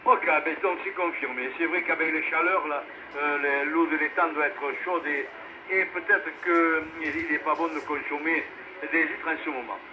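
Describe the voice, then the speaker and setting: A man's voice: a little high, fairly even intonation, moderate pace. Man running a noisy radio net. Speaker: amateur radio net controller. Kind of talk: casual radio net hosting. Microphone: two-way radio transmission. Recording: muffled, noisy VHF amateur radio relay.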